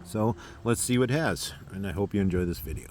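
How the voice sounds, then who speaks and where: American man, low pitch, casual hobbyist presenter, halting unscripted delivery, faint noise behind. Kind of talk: casual product demonstration.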